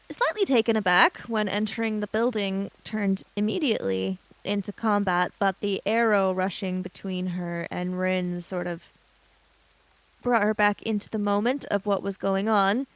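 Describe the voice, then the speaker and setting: A woman's voice: medium pitch, measured pace, no echo, poor audio. Female tabletop player, mid-range voice, casual conversational delivery with improvised story narration. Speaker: player in a tabletop roleplaying game podcast.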